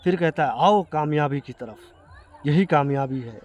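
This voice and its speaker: Higher-pitched Indian man, earnest and halting. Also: lively intonation, darkish tone. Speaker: video creator addressing viewers. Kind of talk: explanatory talk to camera.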